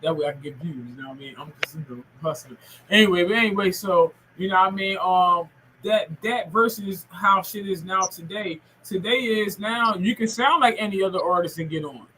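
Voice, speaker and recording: Male podcast host, forties. Forceful high voice, loose profane street-slang storytelling, faint noise behind. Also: a little fast, low-quality recording. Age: 40s. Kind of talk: casual interview chat.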